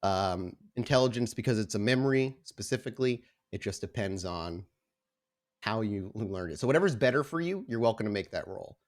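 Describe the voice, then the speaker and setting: A man improvising narration as game master of a tabletop game, with a halting delivery full of repeated words. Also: on the low side, animated. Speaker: game master of an actual play podcast.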